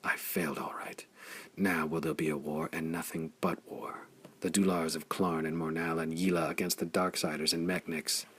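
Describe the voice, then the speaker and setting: A battle-scarred warrior hero from a pulp space adventure, voiced by a man, with a deep, raspy voice. He swings between bitter mutters and loud cries. Rough and heavy throughout. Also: flat delivery, normal speed. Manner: rasping, bitter when low, shouting when roused. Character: scarred young male warrior hero, brooding then fiery. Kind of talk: audiobook character voice.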